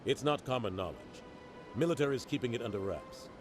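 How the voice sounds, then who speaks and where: A man's voice: mid-range voice, animated, brisk, lightly acted. Man playing a guarded veteran captain. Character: seasoned male naval captain, guarded. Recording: game audio with music.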